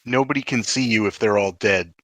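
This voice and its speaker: A man's voice: on the low side, brisk, fairly bright tone, no echo, poor audio. A man chatting casually as a player in a tabletop roleplaying game.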